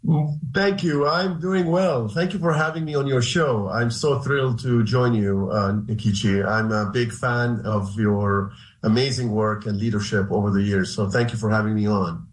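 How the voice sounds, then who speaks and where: Halting middle-aged man. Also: fairly low pitch, steady tone. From Haifa, Israel. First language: Arabic. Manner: halting, many restarts and hesitations. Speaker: guest, human rights lawyer. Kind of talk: radio interview answers. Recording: roomy echo.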